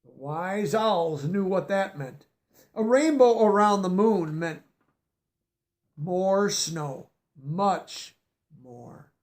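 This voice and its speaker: High-voiced man reading a storybook. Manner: expressive, pauses to explain words to children. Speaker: storybook reader. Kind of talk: reading a picture book aloud.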